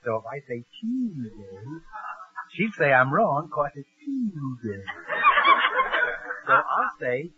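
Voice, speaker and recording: A man's voice: on the high side, very animated, slow pace, long pauses. An actor playing a flustered, scheming man muttering his way through an old-time radio comedy. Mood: puzzled, scheming. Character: flustered, scheming grown man caught in a quiz. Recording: muffled, noisy old radio recording.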